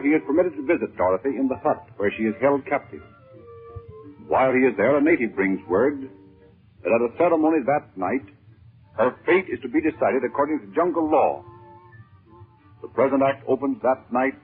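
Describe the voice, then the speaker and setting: A male announcer of a 1930s radio serial with a medium-pitched voice, narrating formally and deliberately with a dramatic air. The recording is old and muffled, with faint music underneath. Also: lively intonation, husky.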